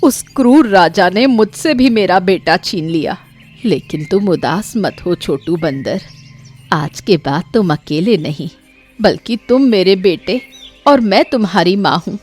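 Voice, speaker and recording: A woman's voice: medium pitch, expressive, a little slow, a little mellow, faint music behind. A woman voicing a kind motherly mother-in-law in a moral story, patient and comforting.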